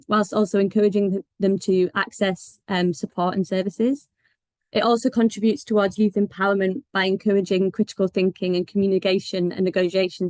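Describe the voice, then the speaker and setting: A woman's voice: medium pitch, no room sound. A woman with a failing voice, giving informal welcome remarks as a senior advisor, with many ums and restarts. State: losing her voice. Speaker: senior advisor at a partner organization. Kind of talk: welcome remarks at an online meeting.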